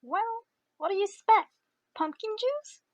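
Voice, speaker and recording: A woman playing a stern, brisk school nurse who scolds, in an audio drama. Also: very high voice, expressive, muffled recording, background noise. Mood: stern, scolding. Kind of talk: fan audio drama acting.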